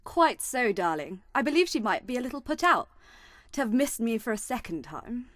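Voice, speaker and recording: An actress playing a genteel Edwardian general's wife with a British accent, refined and wistful, narrating in a radio play. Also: female voice, on the high side, big pitch swings, moderate pace, a bit bright, no room sound, clean studio sound. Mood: fond, chatty. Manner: refined, wistful, period diction. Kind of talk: radio play acting, first-person narration.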